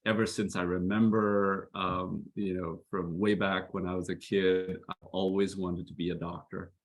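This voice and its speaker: A man's voice: low voice, flat delivery, normal speed, rough recording. A man in his fifties from Southeast Asia, a guest telling his career story in an earnest, reflective way and laughing easily. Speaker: guest, physician and health executive. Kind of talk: casual video-call interview.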